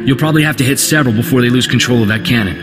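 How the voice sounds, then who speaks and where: Game sidekick, young male gunslinger: folksy, excitable, sincere, played by a man, with a big score underneath. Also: medium pitch, very fast, music in the background, close to an everyday voice. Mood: earnest, urgent. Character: Corso Riggs, earnest eager young male gunslinger. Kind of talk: video game cutscene acting.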